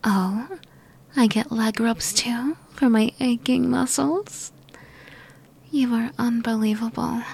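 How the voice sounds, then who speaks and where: A young woman in a whispered ASMR girlfriend roleplay, talking softly and affectionately while sick and worn out. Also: on the high side, creaky, breathy edge.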